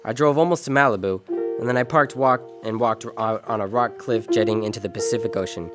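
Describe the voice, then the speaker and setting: An actor playing a world-weary, decent American private detective in an old-time radio drama, wry and clipped. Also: male voice, on the low side, fairly quick, over music, natural voice. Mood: wistful, wry. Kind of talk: 1940s detective radio drama, first-person narration and dialogue.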